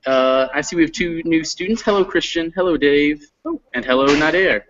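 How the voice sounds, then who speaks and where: A man's voice: a little high, normal speed, fairly bright tone, lo-fi sound, a little hiss or hum. A man teaching an online English reading class, friendly and a little hesitant, with lots of fillers. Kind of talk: online class, casual instruction. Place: classroom, not his usual one.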